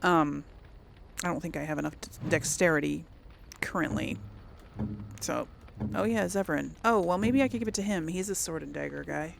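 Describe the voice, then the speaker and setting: A woman's voice: low voice, normal speed, gravelly, pauses often, slight background noise. A woman hosting a casual let's play, rambling and thinking aloud as she comments on the game. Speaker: gaming video host.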